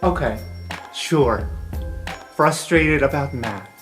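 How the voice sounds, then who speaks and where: A man's voice: mid-range voice, lively intonation, takes it slowly, roomy sound. A man narrating an improvised tabletop game as game master in a casual, colloquial way. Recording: music bed under voice.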